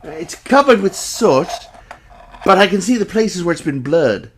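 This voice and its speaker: Loyal, earnest sidekick with swooping, expressive speech man acting. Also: high-pitched, unhurried, a little hiss or hum, heavily acted. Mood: eager. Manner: polite, formal, expressive. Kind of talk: audio drama acting and narration.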